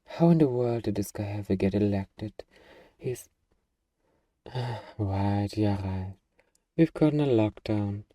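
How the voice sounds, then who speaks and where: A man playing a gruff, defensive young zombie-apocalypse survivor with anxiety underneath, in an ASMR roleplay. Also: on the low side, takes it slowly, long pauses, mellow, dry recording, clean studio sound, distant mic. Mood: anxious, hesitant.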